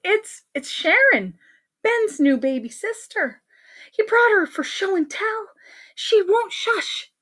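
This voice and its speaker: A woman's voice: very high voice, lively intonation, slow, fairly bright tone, pitched above the performer's own voice, heavily acted. A woman voicing a fussy, self-assured schoolteacher with emphatic delivery in a children's story. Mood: flustered. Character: fussy schoolteacher, scolding yet sure of herself.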